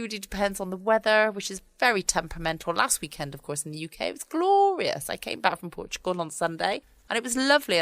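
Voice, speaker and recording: A woman's voice: mid-range voice, a bit bright, no room sound. A woman with a southern English accent, a little run down, chatting casually as a bedtime podcast host. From United Kingdom. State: run down with a chesty cough. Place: at home.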